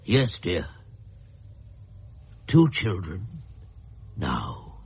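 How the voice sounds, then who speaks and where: An actor playing an anxious, gentle elderly father in a radio drama, speaking hesitantly in a husky voice. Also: deep voice, huge pitch swings, extremely slow, exaggerated voice. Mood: awed, fearful. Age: older. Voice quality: husky, creaky.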